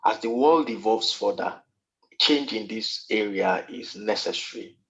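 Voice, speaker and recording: A man from Nigeria, giving a short statement in measured, formal phrasing. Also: lowish voice, vocal fry, fairly bright tone. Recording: dull lo-fi sound.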